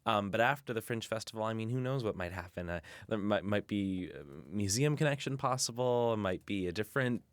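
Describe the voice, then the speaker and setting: Young male interview guest; low-pitched, halting and thoughtful explainer, dry close sound. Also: clear, resonant tone, no echo. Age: young adult. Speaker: interview guest, student puppeteer. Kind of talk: casual interview conversation.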